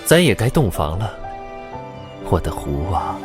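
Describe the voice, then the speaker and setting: Casting: smooth young lord in love, devoted and teasing, low voice with sweeping intonation, music underneath. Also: male voice, big pitch swings, measured pace. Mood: teasing, amorous. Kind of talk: Chinese audio drama acting.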